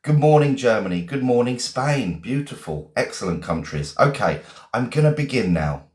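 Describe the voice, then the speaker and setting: A man's voice: medium pitch, animated, moderate pace, darkish tone, echoey room. A man with an English accent, a teacher greeting viewers one by one in a chatty live-stream lesson. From England, Essex.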